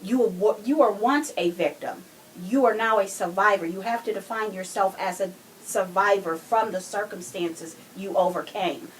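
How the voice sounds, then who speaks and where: A woman's voice: medium pitch, normal speed, a little hiss or hum, rough recording. A woman co-hosting a podcast, talking casually and emphatically as she argues her points in a true crime discussion. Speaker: podcast co-host.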